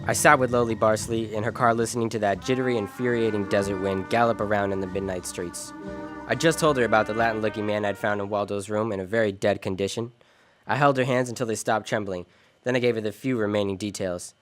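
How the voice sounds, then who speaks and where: An actor playing a cool, wisecracking private detective in a radio noir drama, sardonic and slangy. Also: male voice, lowish voice, fairly even intonation, quick pace, music in the background, lightly acted. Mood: wry, unhurried.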